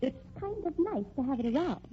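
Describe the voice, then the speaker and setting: An actress playing a young, coldly practical gold digger, disdainful and cutting, in old-time radio drama style. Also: female voice, sits high, big pitch swings, fairly quick, dark tone. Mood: cool, disdainful. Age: young adult. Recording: muffled old radio recording, faint noise.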